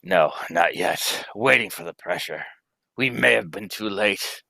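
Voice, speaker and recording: A man's voice: sits low, animated, unhurried, vocal fry, sharp tone, no room sound, exaggerated voice, poor audio. A man playing a grave, commanding scientist father facing doom in an old-time radio drama, solemn and urgent.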